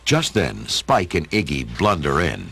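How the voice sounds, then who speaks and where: A man's voice: a bass voice, huge pitch swings, unhurried, bright, forceful tone, slightly rough, full character voice. A man narrating a radio play as a dramatic storyteller, with a folksy, wry manner.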